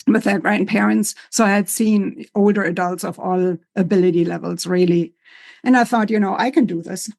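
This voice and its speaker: A woman with a German accent speaks in a measured, thoughtful way, like a psychologist explaining her work. Her pitch sits in the middle of the range. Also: steady tone, creaky, darkish tone. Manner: thoughtful, measured, laughs easily. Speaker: psychologist, associate professor. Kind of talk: conversational interview.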